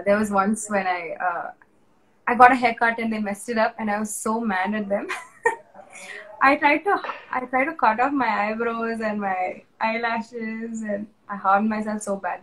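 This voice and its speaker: A woman's voice: medium pitch, poor audio. A young woman with an Indian English accent, an interview guest telling her personal testimony in an earnest, emotional and candid way. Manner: earnest, emotional, candid, with restarts and soft laughs.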